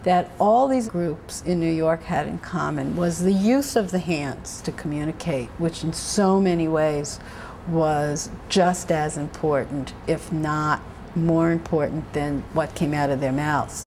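A woman explaining things in a measured way in a documentary interview. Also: sits low, darkish tone, a little hiss or hum.

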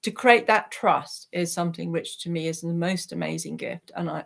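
A woman's voice: fairly low pitch, normal speed, gravelly. A woman, speaking earnestly and reflectively as an interview guest on a video call. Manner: earnest, heartfelt. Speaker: interview guest, peace activist. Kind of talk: reflective personal account in an online interview. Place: small attic room at home, indoors. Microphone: computer microphone on a video call.

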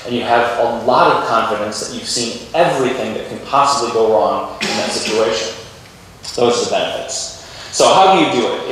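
A man's voice: medium pitch, fairly bright tone. A man giving an informal, explanatory conference keynote on technology to a lecture theatre. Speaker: keynote speaker. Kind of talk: conference tech presentation. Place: large university lecture theatre with audience. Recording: echoey hall, distant mic, faint noise.

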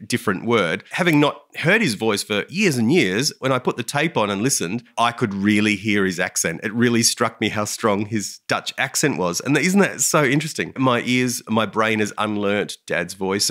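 A man's voice: fairly low pitch, animated, moderate pace, few pauses, fairly bright tone. A man co-hosting a podcast, talking in a thoughtful, earnest casual chat. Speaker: podcast co-host.

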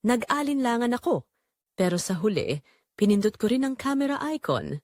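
Woman, intimate romantic story narration, animated intonation, close dry sound. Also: a little high, normal speed, no echo. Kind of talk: first-person scripted narration of a romantic drama.

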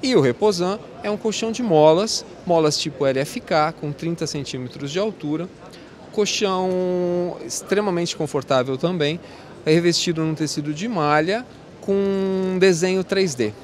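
Brazilian Portuguese man in his forties, company rep, salesy pitch, fairly high voice, exhibition hall, handheld mic. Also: normal speed. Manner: salesy, praising products. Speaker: company representative. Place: large indoor exhibition hall. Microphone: host's handheld mic.

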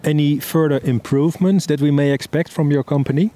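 A man's voice: on the high side, fairly even intonation, brisk, mellow. A male host asking an interview guest short, direct questions.

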